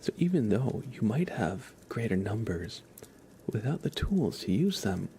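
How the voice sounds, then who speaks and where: A man hosting an ASMR livestream, speaking softly and breathily in a gentle, soothing, unhurried way, often slipping into a whisper. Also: on the low side, very animated, slight background noise. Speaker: livestream host. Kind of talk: ASMR livestream, chatting to viewers.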